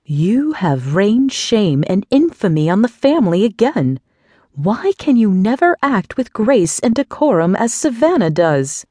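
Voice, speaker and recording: A woman's voice: mid-range voice, sing-song, dark tone, no echo, clean studio sound, voice pushed up. Woman doing a scornful haughty aunt. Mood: scolding. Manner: slow, disdainful, seething.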